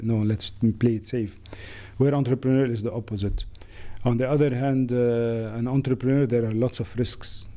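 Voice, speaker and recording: A middle-aged man from Lebanon, a managing director answering interview questions in a thoughtful, halting way with many fillers. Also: mid-range voice, dark tone.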